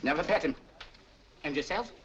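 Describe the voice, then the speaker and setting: A man's voice: a little high, animated, muffled recording, a little hiss or hum, lightly acted. A man playing a brash Cockney pub musician. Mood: cheery. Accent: London Cockney. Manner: brash, streetwise, quick to bargain. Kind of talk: 1940s film acting.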